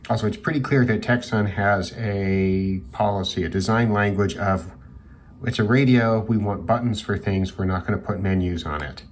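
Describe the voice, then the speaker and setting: A man giving an informal, thoughtful product review in a measured way with hesitant pauses. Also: fairly low pitch. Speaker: radio hobbyist reviewer. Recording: clearly noisy background hiss.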